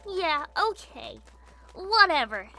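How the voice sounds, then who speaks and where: Very high, bratty little cartoon filly. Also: female voice, sing-song, slow, vocal fry, bright, forceful tone, faint music behind, exaggerated voice. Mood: sulky, annoyed. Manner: bratty, sarcastic, exasperated. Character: grumpy, scheming young filly villain, easily exasperated. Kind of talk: fan fiction audio drama acting.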